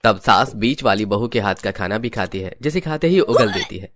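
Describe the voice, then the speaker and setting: A man's voice: on the low side, expressive, normal speed. A man reading scripted storybook narration for a cartoon. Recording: music under the voice.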